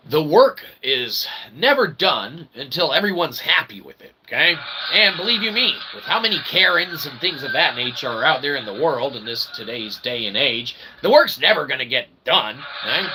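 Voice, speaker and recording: A man delivering a rambling solo monologue in wordy, mock-formal phrasing, with theatrical swings in emphasis and repeated emphatic tags. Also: medium pitch. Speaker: independent channel host and developer. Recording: noticeable background noise.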